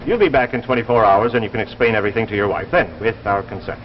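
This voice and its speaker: An actor playing a firm, grave mission leader in 1950s radio drama style, formal and emphatic. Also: male voice, on the low side, very fast, close to an everyday voice. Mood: stern. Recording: muffled vintage broadcast, faint noise.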